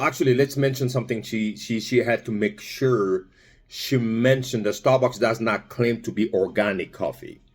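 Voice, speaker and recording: A man's voice: fairly low pitch, darkish tone. A man from Congo giving a rambling, casual vlog commentary with lots of fillers and restarts. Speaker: vlogger.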